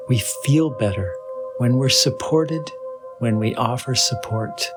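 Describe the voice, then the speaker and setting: A man guides a meditation at a very slow pace, leaving long pauses between phrases. His delivery is soothing and measured, in a mid-range pitch. Clear meditation music plays behind his voice. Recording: voice over background music with singing bowl.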